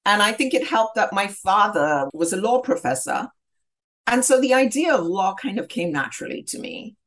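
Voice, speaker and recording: A middle-aged woman from southern Africa telling her personal story as an interview guest, in a measured, reflective and articulate manner. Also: medium pitch, expressive, fairly bright tone. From southern Africa, grew up in Zambia. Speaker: interview guest, human rights lawyer.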